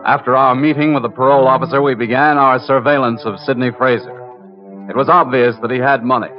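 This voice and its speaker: An actor playing a sober, matter-of-fact investigator in an old-time radio crime drama. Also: male voice, mid-range voice, fairly even intonation, quick pace, lightly acted. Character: sober, methodical male investigator narrating a surveillance case. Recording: dull old radio recording, music behind.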